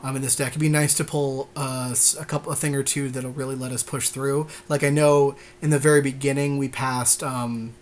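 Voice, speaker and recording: A man's voice: medium pitch, little pitch movement, fairly quick, few pauses, a little hiss or hum. A man co-hosting a casual card game podcast, talking in an agreeable, conversational way. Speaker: podcast co-host.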